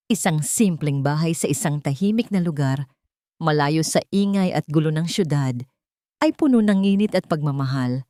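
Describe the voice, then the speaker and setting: Woman narrator with expressive, lively intonation. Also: lowish voice, no room sound, clean studio sound. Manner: sentimental, earnest, dramatic storytelling. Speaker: story narrator. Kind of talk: scripted first-person romance narration.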